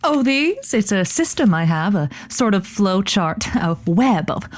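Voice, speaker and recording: A woman's voice: medium pitch, big pitch swings, measured pace. A woman playing an eager, inexperienced late-night radio host who rambles and stammers with chirpy politeness in an audio comedy. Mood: proud, defensive. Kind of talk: audio comedy drama acting.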